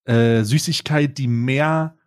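A man's voice: medium pitch, slow, clear, resonant tone. A man hosting a podcast in casual chat, chatty and hesitant, recorded over a remote call. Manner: chatty, hesitant, laughs easily. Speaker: podcast host.

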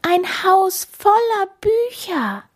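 A woman voicing a small, eager female mouse in a children's story, with a thin, squeaky, piping voice. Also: takes it slowly, full character voice. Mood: excited. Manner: excited, piping.

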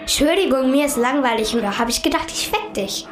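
A woman's voice: high voice, animated, fairly quick. A young female performer playing a cheeky schoolgirl in an amateur children's radio play. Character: sleepy, cheeky schoolgirl who is easily bored. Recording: roomy sound under loud music.

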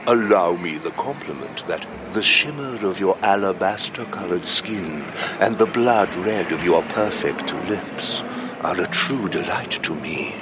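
Casting: ceremonious vampire herald, very low voice, unhurried, florid audio drama delivery. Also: male voice, lively intonation, noisy background. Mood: unctuous. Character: pompous, courtly male palace doorman of vampire society.

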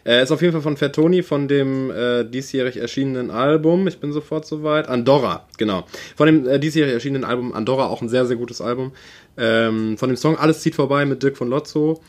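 A young man co-hosting a relaxed podcast, talking through his favourite rap of the year in loose, slangy speech. His voice sits at an ordinary middle pitch, and he often pauses on fillers while thinking aloud. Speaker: podcast co-host. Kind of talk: casual chat about rap music.

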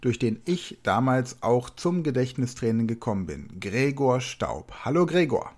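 A man's voice: medium pitch, very animated, normal speed, darkish tone. A middle-aged man hosting an interview, prompting his guest with friendly, open questions. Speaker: podcast host, interviewer.

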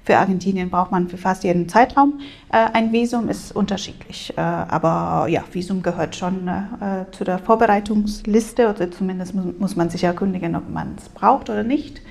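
Creaky-voiced woman. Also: fairly low pitch, normal speed, darkish tone. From Spain. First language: Spanish. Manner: hesitant, many filler sounds, small grammar slips. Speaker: exchange program advisor. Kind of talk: interview answers. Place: language school room.

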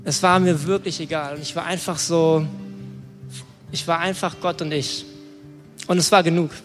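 A man's voice: a little high, steady tone, moderate pace. A young man giving an informal, colloquial sermon, often hesitating and groping for words. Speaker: preacher. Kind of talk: informal youth sermon with personal testimony. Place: large room, church service on stage. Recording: echoey hall, music behind. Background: music.

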